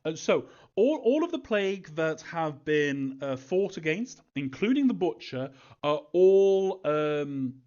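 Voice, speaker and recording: A man's voice: fairly high pitch, sing-song, slow pace, a little mellow. A man answering fan questions casually as a game developer, reading them aloud and replying in a hesitant, chuckling, evasive way. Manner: hesitant, chuckling, evasive about story details. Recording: dull, lo-fi audio.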